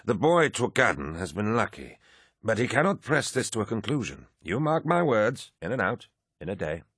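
A man's voice: on the low side, sing-song, fairly quick, a bit bright, husky, no room sound, studio quality, pitched above the performer's own voice, heavily acted. A man voicing an aging, pompous male officer who rambles and declares things with great self-importance. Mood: certain. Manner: blustering, declaring.

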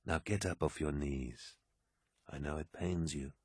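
A man voicing a commanding, brooding, proud warlord in an audiobook, with a grave, imperious, husky delivery. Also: very deep voice, normal speed, dry recording, clean studio sound. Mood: gentle, grave.

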